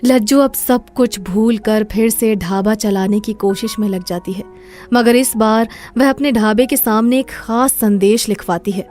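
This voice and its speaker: A woman's voice: on the high side, a little slow, a little mellow, faint music behind. A woman narrator reading a scripted moral story.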